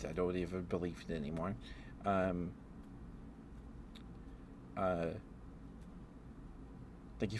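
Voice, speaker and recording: A man streaming ASMR, chatting in a halting, rambling way through casual personal stories. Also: deep voice, noisy background.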